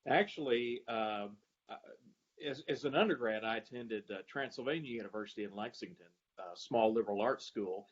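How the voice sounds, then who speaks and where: A man, a sports announcer answering interview questions in a halting way, searching for his words. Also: on the low side, husky, highs cut off. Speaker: guest, arena public address announcer.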